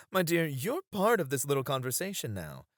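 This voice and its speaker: A man's voice: a little high, wildly swooping pitch, moderate pace, fairly bright tone, no echo, clean studio sound. A man playing a flirty, doting and cheekily possessive club host in an ASMR roleplay, suave and coaxing. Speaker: voice actor.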